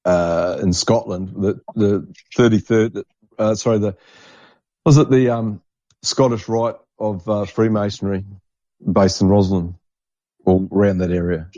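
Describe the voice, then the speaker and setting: A man's voice: fairly low pitch, steady tone, unhurried, warm tone, dry recording. A man hosting a podcast in casual chat, enthusiastic and repeating himself for emphasis, on a lo-fi recording. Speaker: podcast host. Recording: dull lo-fi, dry microphone sound.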